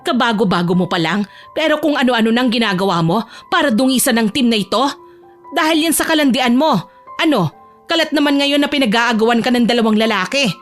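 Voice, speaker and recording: A woman's voice: a little high, expressive, a little fast, faint music behind, lightly acted. A woman voicing a harsh middle-aged female supervisor in an audio drama, nagging and belittling with sarcastic scorn. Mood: scornful, scolding.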